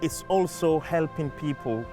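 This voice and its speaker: A man whose first language is French, talking in an earnest, measured and reflective way as a community campaigner in a documentary interview. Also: a little high, over loud music.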